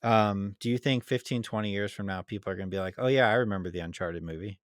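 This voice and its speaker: A man's voice: on the low side, fast talker, dry recording, clean studio sound. A man co-hosting a podcast in casual debate, easygoing as he prompts his partner. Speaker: podcast co-host.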